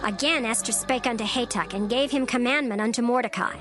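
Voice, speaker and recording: High-voiced woman narrating scripture over music. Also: brisk.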